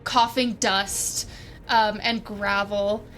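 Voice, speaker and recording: A woman's voice: mid-range voice, fairly even intonation, extremely slow, fairly bright tone, soft background music. A woman playing a tabletop roleplaying game, thinking aloud and casually narrating what her characters do.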